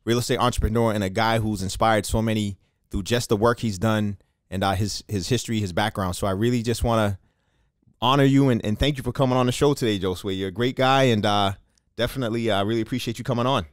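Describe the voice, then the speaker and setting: A man's voice: on the low side, steady tone, fast talker, clear, resonant tone, dry recording, clean studio sound. A man hosting a podcast interview, upbeat and encouraging, with many fillers. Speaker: podcast host. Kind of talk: casual interview.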